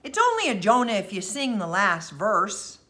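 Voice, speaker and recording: Woman as a teenage fisher boy, storybook character, hot-headed, boastful, swooping high pitch, bright and forceful. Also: wildly swooping pitch, normal speed. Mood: hotly defiant. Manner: emphatic, protesting.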